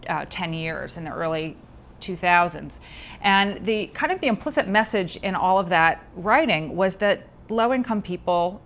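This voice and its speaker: A middle-aged woman, a researcher and author, answering interview questions in a thoughtful, measured way with frequent ums. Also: fairly low pitch, fairly bright tone.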